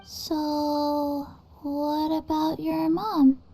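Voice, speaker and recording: A woman voicing a small, sleepy, emotionless girl in a video game, terse and halting. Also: high-pitched, monotone, mellow. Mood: deadpan. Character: flat, sleepy little undead girl.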